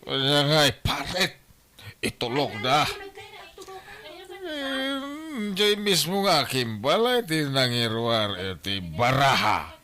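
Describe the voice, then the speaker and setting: A man acts out a tipsy, cheeky village gambler for a comedy drama. His delivery is slow and swoops up and down, with a bright, forceful edge. He exclaims freely as he talks. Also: mid-range voice, sing-song, heavily acted. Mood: tipsy, wheedling.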